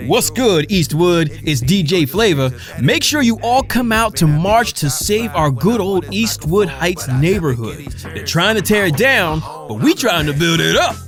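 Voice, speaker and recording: High-pitched, hyped American radio DJ. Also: male voice, very animated, measured pace, a bit bright, exaggerated voice. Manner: hyped, slangy, exclamatory. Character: upbeat neighborhood radio DJ hyping a community march. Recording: music playing behind voice.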